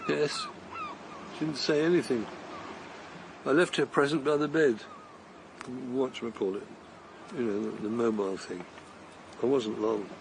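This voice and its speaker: A man's voice: medium pitch, measured pace, long pauses, background noise, close to an everyday voice. An actor playing a weary older man on a long walk, speaking in a hesitant, stammering, apologetic way. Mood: defeated. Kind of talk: radio drama acting.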